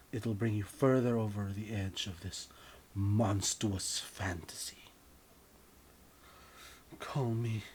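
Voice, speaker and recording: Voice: medium pitch, husky. A narrator reading their own comic story in a mock-serious, theatrical, scripted style.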